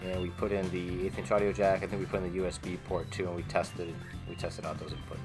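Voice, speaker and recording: A man's voice: low voice, little pitch movement, quick pace, over loud music. A young man plainly explaining a DIY speaker build step by step, with some fillers, in a home garage. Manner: plain, matter-of-fact with fillers. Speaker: co-host of a DIY video.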